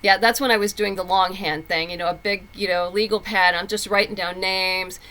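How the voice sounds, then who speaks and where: A woman's voice: mid-range voice, fairly even intonation, fairly quick, bright, forceful tone, low-quality recording. A woman being interviewed as a writer, telling stories casually and emphatically, acting out quoted thoughts, with noise behind her. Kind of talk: casual conversational storytelling.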